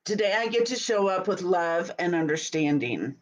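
A woman in her thirties hosting a casual live video from her home office, sounding stuffy and scratchy from a cold, chatty and quick to laugh. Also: on the low side, expressive. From Utah. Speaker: host. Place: home office, small room indoors. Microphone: webcam at desk.

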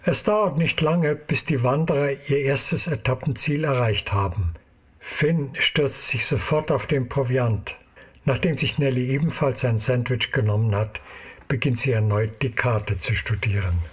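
A man's voice: mid-range voice, measured pace, husky. A man narrating an audio drama in a scripted storytelling style.

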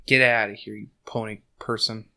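A slightly hoarse man hosting a casual book podcast, rambling with lots of fillers and laughing often, recorded on a desk mic at home. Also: lowish voice, flat delivery, moderate pace, sharp tone. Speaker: podcast host. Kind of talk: casual chat and book recap. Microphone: desk condenser mic.

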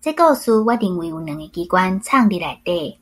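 A woman's voice: lowish voice, sing-song, moderate pace, husky. A woman with a Taiwanese accent, a book club member reading a scripted reflection aloud.

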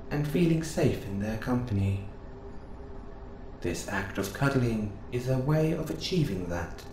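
A low-pitched male robot assistant, acted by a man, curious and devoted, speaks to the listener in an intimate audio roleplay. His wording is formal, precise and earnest. The recording sounds roomy, with clear noise behind the voice. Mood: curious, reassuring.

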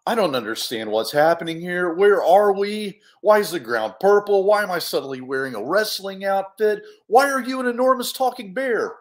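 A man's voice: fairly high pitch, expressive, slow, gravelly, pitched below the performer's own voice, heavily acted. A man voicing a bewildered, easily startled young adventure hero in a children's storybook, stammering out incredulous questions. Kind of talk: animated children's storybook read-aloud.

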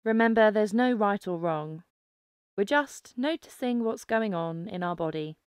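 Female meditation guide: clear, smooth tone, very measured pace, calm instructive delivery. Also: mid-range voice, no room sound, studio quality. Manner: calm, instructive, unhurried with pauses. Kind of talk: guided mindfulness meditation narration.

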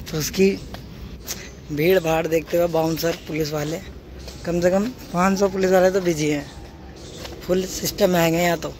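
Young man vlogging over loud street noise. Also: a little high, fairly even intonation, normal speed, long pauses. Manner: chatty, keeps calling viewers brother. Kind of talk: casual vlog narration. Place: outdoors on city roads and at a stadium. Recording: noisy outdoor phone recording.

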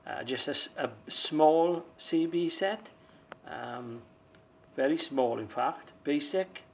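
A man giving a casual hands-on demonstration in an unhurried, plain-spoken way. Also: a little high, slight background noise. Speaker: presenter of a radio demonstration video. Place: small indoor room or shed.

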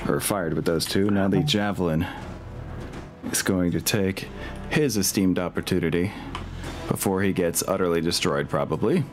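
A man acting as game master of a streamed tabletop game, thinking aloud as he narrates the results. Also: sits low. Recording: music behind.

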